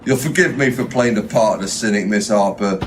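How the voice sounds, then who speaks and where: A man's voice: lowish voice, fairly quick, faint music behind, natural voice. Man playing a cynical, officious police detective. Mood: cynical, scornful. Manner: officious, sardonic, formal. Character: cynical, blunt male police detective interviewing a witness. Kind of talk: audio drama acting.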